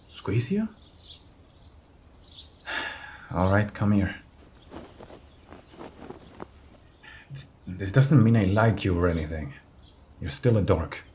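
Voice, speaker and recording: Man acting a mellow, brusque teenage school bully. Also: lowish voice, a little hiss or hum. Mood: gentle, gruff. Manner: brusque, tender underneath. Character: gruff teenage school bully turning protective and flustered. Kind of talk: scripted audio roleplay.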